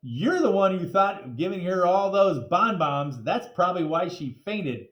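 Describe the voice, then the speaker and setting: A man's voice: high-pitched, expressive, normal speed, fairly bright tone, highs cut off, voice pushed up. A man voicing a young boy in a storybook, arguing and goading his friend. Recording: echoey, roomy sound.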